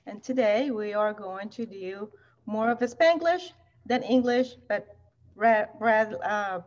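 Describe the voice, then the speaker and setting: A woman's voice: medium pitch, slow, darkish tone, slight background noise. A woman in her thirties hosting an online event, giving an enthusiastic welcome and introducing the guests. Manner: bubbly, enthusiastic, repeats words for emphasis. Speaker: event host. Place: indoors, in front of a projection screen. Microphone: video call. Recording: slightly dull video call audio.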